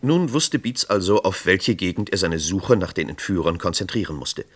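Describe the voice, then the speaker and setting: A male narrator tells a crime story for a radio drama. His voice sits fairly low and moves expressively in pitch. The delivery is scripted, measured storytelling. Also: expressive.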